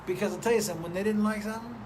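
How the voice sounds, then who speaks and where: A man's voice: sits high, steady tone, very fast. Loose, profane man hosting a live stream. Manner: loose, profane, rambling and playful. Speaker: host of a live stream show. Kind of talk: casual live-stream chat, reading viewer comments. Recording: noticeable background noise.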